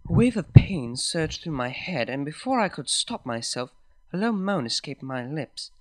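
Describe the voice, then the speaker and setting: Lively, expressive male story reader. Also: a little high, normal speed, noisy background. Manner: expressive, lilting storyteller delivery. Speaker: fanfiction reader. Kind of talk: first-person story reading.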